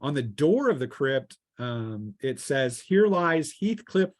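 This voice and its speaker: A man narrating a tabletop roleplaying game as game master, in a casual, halting way as he thinks aloud. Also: mid-range voice, big pitch swings, dry recording.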